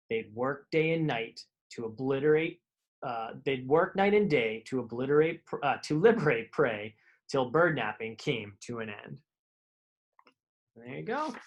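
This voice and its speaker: A man reading children's picture books aloud in a live storytime, with informal asides to his young listeners. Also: medium pitch.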